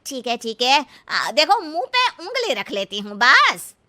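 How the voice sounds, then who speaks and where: An elderly village mother-in-law for a cartoon story, very sharp and high. She is cheeky and stubborn, drawing out her folksy scolding with big swoops in pitch. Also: wildly swooping pitch, measured pace, exaggerated voice. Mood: gossipy. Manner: literal-minded, scolding, folksy. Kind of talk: exaggerated comic cartoon story acting.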